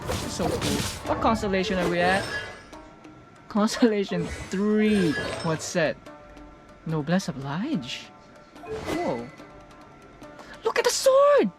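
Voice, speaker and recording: A young woman streams casual game reactions with playful exclamations. Her pitch moves around a lot while her pace is unhurried, and game music is clearly audible behind her. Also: sing-song.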